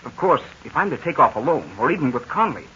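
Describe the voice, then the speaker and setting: An eager young sidekick, earnest and anxious, his voice bright and clear. It plays on a dull old radio transcription with a faint hiss under it. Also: fairly high pitch, very animated, fast. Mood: worried. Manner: earnest, worried, boyish exclamations. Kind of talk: 1940s radio acting.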